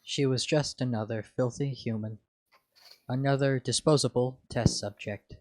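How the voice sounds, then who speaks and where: A man's voice: mid-range voice, fairly even intonation, moderate pace, a little mellow, no room sound, lightly acted. A man voicing a bitter, contemptuous female artificial intelligence in a dramatic fan fiction reading.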